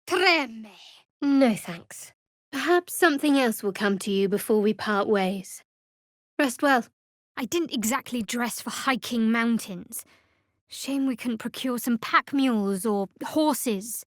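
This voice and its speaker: An actress playing a guarded, sardonic young woman cleric devoted to a dark goddess in a video game, with dry, wry, measured delivery. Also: fairly high pitch, no room sound. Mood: forceful, urgent. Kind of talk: video game character voice lines.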